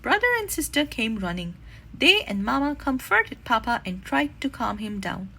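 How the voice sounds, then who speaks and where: A woman narrating a children's storybook expressively, with dramatic, deliberate phrasing. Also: fairly high pitch, very animated, vocal fry, sharp tone, slightly rough, rough recording. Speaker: children's read-aloud narrator.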